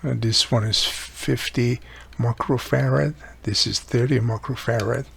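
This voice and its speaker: Gravelly, husky man explaining repairs. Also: medium pitch, steady tone, unhurried, a bit bright. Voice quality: raspy, gravelly. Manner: explains step by step, thinking aloud. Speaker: repair video host. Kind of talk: casual hands-on tutorial narration.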